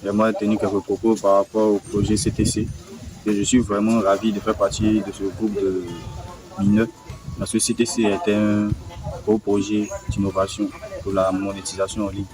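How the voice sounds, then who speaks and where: Low, flat-voiced man from Côte d'Ivoire. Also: brisk, music in the background, rough recording. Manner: earnest, promotional. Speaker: community member. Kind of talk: video testimonial.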